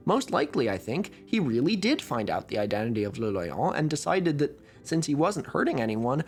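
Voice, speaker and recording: A man from Oregon reads a scripted documentary narration with a wry, conversational touch. His pitch rises and falls a great deal as he tells the story. A faint music bed sits under his voice. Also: darkish tone. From Oregon, USA.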